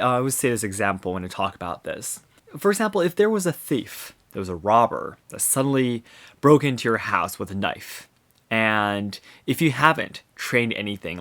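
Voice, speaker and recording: A man's voice: fairly low pitch, fairly bright tone. A man touched by English, hosting his own podcast and talking through his views in an earnest, thoughtful way, many examples and a frequent "you know". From Japan. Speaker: podcast host. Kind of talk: solo podcast reflection.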